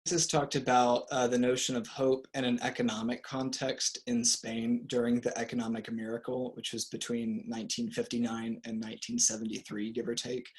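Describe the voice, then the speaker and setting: A young man talks through his thesis with a level, even voice that barely rises or falls. His delivery is academic and explanatory, like a student presenting research. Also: mid-range voice, poor audio. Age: young adult. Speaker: university student.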